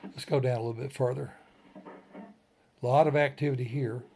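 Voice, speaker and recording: A man's voice: medium pitch, steady tone, fairly quick, warm tone. A man hosting a radio tutorial in a folksy, plain-spoken way, walking through an informal step-by-step demonstration in short phrases. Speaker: ham radio tutorial host.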